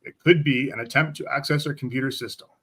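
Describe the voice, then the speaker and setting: A man's voice: mid-range voice, fairly even intonation, quick pace, a bit bright, breathy edge. A man playing a dutiful starship security officer in an amateur radio drama, giving terse, clipped reports. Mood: businesslike. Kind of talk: amateur radio theatre reenactment.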